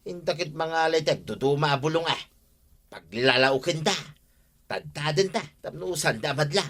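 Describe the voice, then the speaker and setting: A man playing an excitable, mischievous swindler's sidekick in an Ilocano comedy drama, with an Ilocano accent and exclamatory delivery. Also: fairly high pitch, moderate pace.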